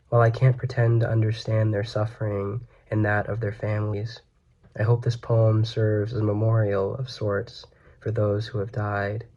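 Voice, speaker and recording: A young man in his twenties solemnly reads his poetry in a measured way, in a small room on a webcam mic. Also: fairly low pitch, warm tone, highs cut off. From United States. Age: 20s. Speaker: poet. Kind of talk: poetry reading. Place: small plain room indoors.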